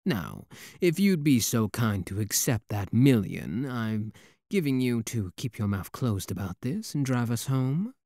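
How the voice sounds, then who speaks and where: A man's voice: mid-range voice, very animated, unhurried, mellow, no room sound. A man acting a suave, teasing demon prince in a romantic roleplay audio drama, with a courtly, flirtatious drawl and playful mock menace. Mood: suave, commanding.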